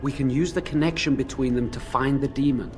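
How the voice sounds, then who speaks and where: A remorseful young male mage, voiced by a man in a fantasy game, speaking in a middle-range voice. He sounds apologetic and earnest, with some hesitation in his explanations. Quiet game music plays behind him. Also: mid-range voice, a little fast, close to an everyday voice. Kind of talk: video game dialogue.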